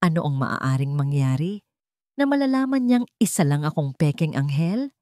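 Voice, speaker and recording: A woman's voice: low voice, big pitch swings, moderate pace, darkish tone, no room sound, clean studio sound. A woman voicing a greedy, mocking young fiancée in an audio love story, gloating and sugary. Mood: smug, excited. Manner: gloating, sugary when deceiving. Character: greedy, scheming young gold-digger fiancée, smug and mocking.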